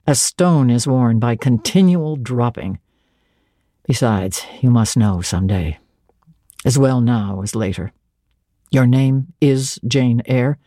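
A woman voicing a stern, cold young male clergyman who speaks in a grave, dry, measured way. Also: rumbling low, lively intonation, dark tone, dry recording, pitched below the performer's own voice, exaggerated voice.

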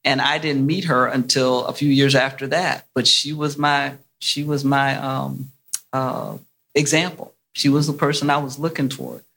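A man with a medium-pitched voice talks off the cuff on a panel. His delivery is somewhat halting, with words repeated as he goes. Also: flat delivery, vocal fry, a bit bright. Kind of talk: spontaneous panel talk.

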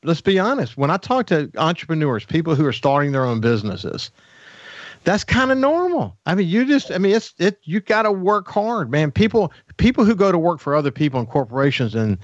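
A man's voice: fairly high pitch, big pitch swings, a little fast. An enthusiastic male radio host who doubles back on his words as he talks. He is recorded close and dry in a studio, with a slightly muffled, narrow sound and no room echo. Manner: enthusiastic, repeats words as he restarts. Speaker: radio talk show host. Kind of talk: upbeat interviewing, chatty lead-ins.

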